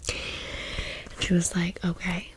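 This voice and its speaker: A woman whispers in a chatty ASMR storytelling style. Her delivery is slow and unhurried, with a low-set voice beneath the whisper. She sounds conversational and gushing, as if confiding in friends. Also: completely flat delivery. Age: 30s. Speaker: ASMR vlogger.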